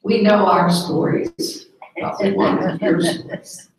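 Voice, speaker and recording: A religious sister hosting a gathering, inviting guests to share stories in a chatty way with filler words. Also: female voice, mid-range voice, steady tone, takes it slowly, a crowd in the background. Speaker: host, sister of the religious community. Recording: echoey room, mic far off.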